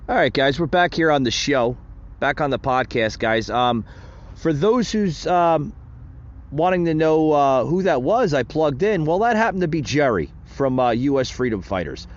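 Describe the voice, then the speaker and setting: A man's voice: fairly high pitch, expressive, normal speed. A man hosting a podcast who talks straight to his listeners, often calling them "guys". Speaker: podcast host. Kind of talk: casual podcast talk to listeners. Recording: muffled lo-fi.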